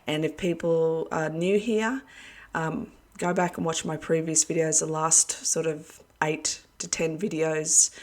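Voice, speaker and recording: A woman giving a casual video address to her followers as an online preacher, her voice hoarse and going, talking earnestly and rambling with many fillers. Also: low-pitched. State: losing her voice.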